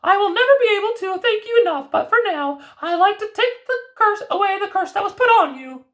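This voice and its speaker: A woman voicing a kindly grandmother who is a fairy godmother, speaking in a very high, sing-song voice. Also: expressive, brisk, fairly bright tone. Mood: gracious.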